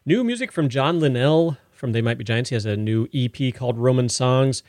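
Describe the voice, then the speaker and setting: A man's voice: medium pitch, a little fast, darkish tone. A man hosting a radio music show, chatting casually as he announces songs.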